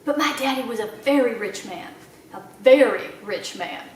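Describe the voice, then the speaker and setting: A woman's voice: on the high side, moderate pace, slight background noise, lightly acted. A woman voicing a proud old small-town Southern lady librarian who tells tales with dramatic, repeated emphasis for a children's storybook. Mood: proud, reminiscing. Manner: emphatic, repeats phrases for effect. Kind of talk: children's audiobook character voice. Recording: very echoey room, slightly distant mic.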